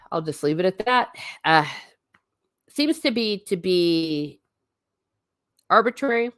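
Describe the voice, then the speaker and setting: A woman's voice: lowish voice, lively intonation, slow pace, no room sound, clean studio sound. A woman hosting a casual livestream, reading viewer comments and answering them in a chatty, blunt way. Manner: chatty and blunt, with frequent asides and restarts. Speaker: livestream host.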